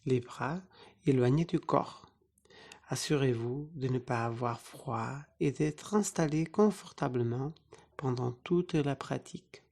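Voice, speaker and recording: A yoga nidra teacher guiding a relaxation meditation in short, soothing phrases with pauses between them. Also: mid-range voice, darkish tone.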